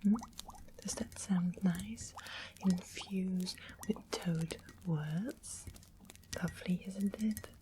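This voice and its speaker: A woman's voice: on the low side, fairly even intonation, extremely slow, noisy background. A woman playing a sweetly sinister witch in an ASMR fantasy roleplay, whispering coyly and coaxingly with doting endearments. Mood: coaxing, sly.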